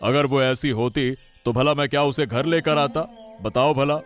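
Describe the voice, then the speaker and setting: A man voicing a cheerful, doting father of the household in an animated family story, insistent and full of praise. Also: medium pitch, normal speed, over music, natural voice. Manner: warm-hearted, insistent, praising.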